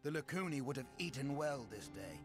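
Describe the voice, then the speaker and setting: A man's voice: mid-range voice, animated, a little fast, music in the background, lightly acted. A man playing an earnest, battle-worn soldier captain in a fantasy video game, grave and formal. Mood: grave, grateful.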